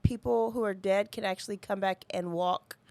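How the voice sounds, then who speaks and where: A woman's voice: medium pitch, steady tone, moderate pace, creaky, darkish tone, dry recording. A woman co-hosting a podcast in casual, unscripted chat, talking in an informal, slangy way. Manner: informal, slangy, says "y'all" and "like". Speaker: podcast co-host.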